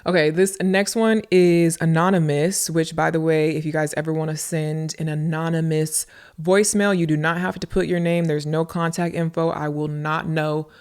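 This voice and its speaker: A woman's voice: deep voice, steady tone, normal speed, darkish tone, clean tone. A woman hosting a podcast in a casual, informal way, talking directly to her listeners and repeating phrases for emphasis. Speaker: podcast host and content creator. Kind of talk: casual solo podcast talk answering listener questions.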